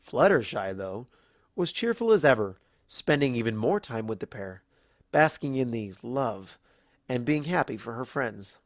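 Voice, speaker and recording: An expressive storybook narrator with a mid-pitched voice. The reading is animated, with plenty of rise and fall, and the sound is close and dry. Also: measured pace, no room sound.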